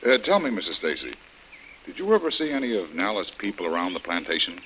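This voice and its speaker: A man's voice: sits low, sing-song, quick pace, a bit bright. A man playing a shrewd, commanding adventurer hero in a vintage radio drama, explaining things emphatically. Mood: probing. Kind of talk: 1940s radio drama acting. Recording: noisy old radio broadcast recording.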